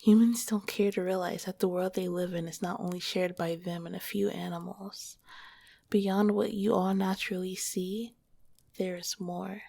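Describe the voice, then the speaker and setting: A woman voicing a gentle, teasing female slime monster girl in a whispered ASMR roleplay, speaking softly into a close microphone. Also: on the low side, steady tone, moderate pace, vocal fry, no echo. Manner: soft whispering, teasing then tender. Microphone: close mic.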